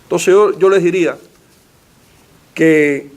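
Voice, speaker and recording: Man, panelist, formal rhetorical lecture, a bit high-pitched. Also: slow pace, a little mellow. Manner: rhetorical, uses questions and lists. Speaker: panelist giving a talk. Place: library meeting room.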